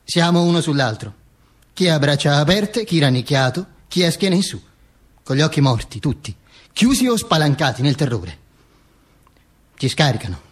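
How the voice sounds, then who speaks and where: A male actor playing a dead dictator's corpse as a bombastic, sardonic, macabre storyteller in a theatrical radio monologue, declaiming with halting stammers. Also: fairly high pitch, slightly rough. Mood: grim.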